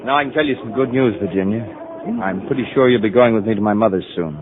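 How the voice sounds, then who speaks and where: An actor playing a devoted, reassuring husband in a vintage radio drama. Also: male voice, fairly low pitch, fast, crowd noise, close to an everyday voice. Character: devoted, encouraging husband, earnest and anxious to reassure. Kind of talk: 1940s radio drama acting. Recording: narrow old radio broadcast, audience murmur.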